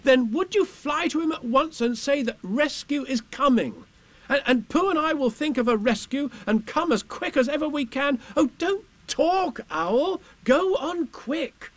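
A man doing an eager, high-voiced young boy. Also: unhurried, dry recording. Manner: high and excitable.

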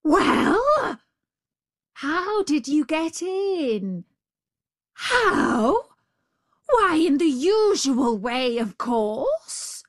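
A woman's voice: on the high side, very animated, extremely slow, pitched above the performer's own voice, full character voice. A woman voicing a savage male tiger in a storybook, growling, bullying and domineering. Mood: exasperated rage. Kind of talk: storybook character voice.

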